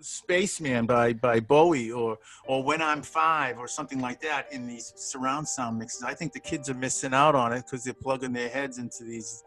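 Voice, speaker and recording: A man in his sixties, a studio manager and bass player, chatting casually on a video call, rambling with many restarts and chuckles. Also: fairly even intonation, normal speed, hardly any pauses, a bit bright, faint music behind, rough recording. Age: 60s.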